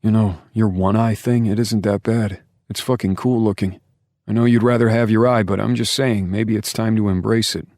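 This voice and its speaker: A man voicing a rough biker friend who talks bluntly and crudely. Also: fairly low pitch, fairly even intonation, normal speed, a little mellow, no room sound, studio quality, pitched above the performer's own voice, natural voice. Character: rough biker buddy, grinning and menacing.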